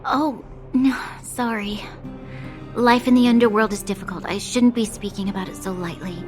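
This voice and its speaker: A woman's voice: on the high side, fairly even intonation, moderate pace, music in the background, natural voice. A woman voicing an earnest, dutiful young noblewoman and officer in a video game, speaking formally and solemnly. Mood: earnest, apologetic. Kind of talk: dramatic video game voice acting.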